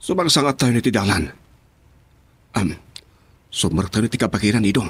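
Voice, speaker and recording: A man's voice: on the low side, very animated, normal speed, a bit bright, breathy edge, heavily acted. An actor playing a deferential, questioning henchman and driver in a radio drama, with an Ilocano accent.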